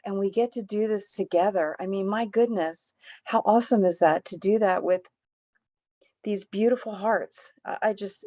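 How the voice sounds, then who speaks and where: A woman's voice: mid-range voice, dark tone. Woman on a zoom call just gushing about how beautiful everyone is, rambly and heartfelt. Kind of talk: heartfelt sharing on a group video call.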